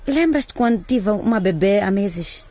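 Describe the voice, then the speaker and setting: An actress playing a worried mother in a radio drama who gently confides a painful family secret to her daughter. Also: medium pitch, animated, unhurried. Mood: confessing.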